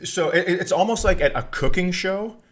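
A man's voice: high voice, normal speed, fairly bright tone. A middle-aged man and podcast host telling casual comic stories in a rambling, profane way.

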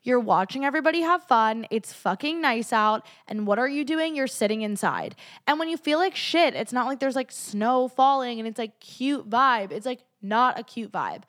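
A woman's voice: fairly high pitch, expressive, moderate pace, few pauses, a bit bright. A young woman hosting a casual solo podcast, stuffy from a cold, talking in a chatty, gushing way. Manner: chatty and gushing, heavy use of "like". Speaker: podcast host.

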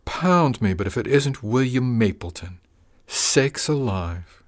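A man's voice: mid-range voice, animated, takes it slowly, voice pushed up. A man voicing a falsely friendly male gang boss who drawls with oily, mock-wounded charm. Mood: smarmy menacing.

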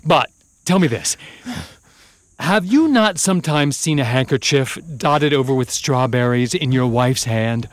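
An actor playing a scheming soldier who feigns loyalty, with a measured, insinuating delivery of classical verse in a radio play. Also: male voice, mid-range voice, animated, no echo, clean studio sound, natural voice. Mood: sly insinuation.

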